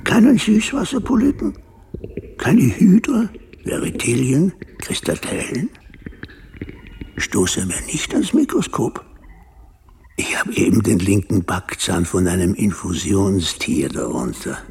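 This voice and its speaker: An actor playing a pompous, fanatical doctor in a German radio play, hectoring and declamatory, lurching between muttering and shrill cries. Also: male voice, very animated, slow pace, creaky, a little mellow, husky, heavily acted. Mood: agitated, scolding. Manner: declamatory, erratic swings from low mutter to shrill cry.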